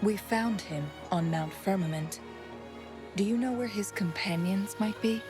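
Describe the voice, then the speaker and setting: An actress playing a composed, courteous female warrior in a video game, measured and polite. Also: medium pitch, music in the background, close to an everyday voice. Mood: calm, reassuring. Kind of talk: scripted game dialogue.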